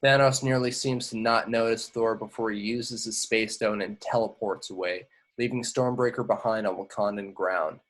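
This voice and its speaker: A man's voice: lowish voice, fairly even intonation, normal speed, hardly any pauses, a bit bright. A man reading screenplay stage directions aloud at an informal table read, occasionally stumbling over words. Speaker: action description reader.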